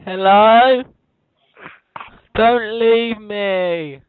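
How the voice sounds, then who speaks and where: A man's voice: high-pitched, extremely slow, smooth, no echo. A man making a prank phone call, acting lost and helpless in a naive, plaintive way. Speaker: prank caller. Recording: tinny phone line with music.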